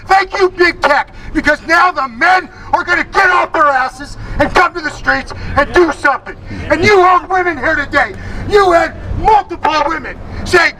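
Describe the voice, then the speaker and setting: A man giving an impassioned political speech at an outdoor rally, emphatic and full of rousing rhetorical questions. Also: very high-pitched. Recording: outdoor rally with crowd and traffic noise.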